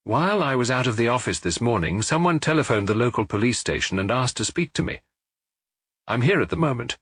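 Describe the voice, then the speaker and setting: A man voicing an earnest, deferential provincial police inspector who explains things briskly in an audiobook. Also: medium pitch, big pitch swings, fairly bright tone, slightly rough, no echo, clean studio sound. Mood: businesslike.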